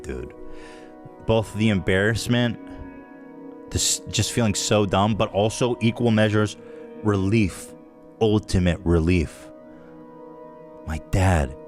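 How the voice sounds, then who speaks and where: A man hosting a podcast, telling a comic story in a dramatic way, dropping now and then into a hushed whisper. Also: on the low side, no echo, clean studio sound. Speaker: podcast host.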